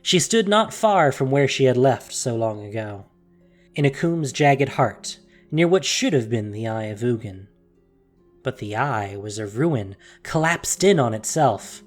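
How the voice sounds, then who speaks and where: A narrator reading a dramatic audio story theatrically, with deliberate pauses and hushed emphasis, in a scripted style. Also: medium pitch, lively intonation. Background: music.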